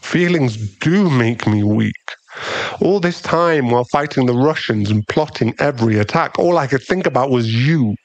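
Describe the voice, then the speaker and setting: Casting: male actor as brooding, protective mafia boss boyfriend for ASMR roleplay; intimate murmur, creaky, dry close sound. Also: medium pitch, expressive, moderate pace, no room sound, close to an everyday voice, poor audio. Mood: vulnerable, confessing.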